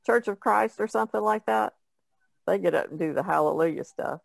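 A woman's voice: mid-range voice, brisk, gravelly, no room sound. A woman chatting casually as a co-host on a podcast. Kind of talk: casual chat.

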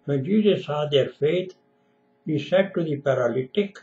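A man's voice: on the high side, normal speed, a little mellow, lo-fi sound. An older man whose first language is Punjabi, preaching emphatically and repetitively in a sermon recorded at home on a webcam. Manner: emphatic, repetitive, gestures with hands. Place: room at home, window behind.

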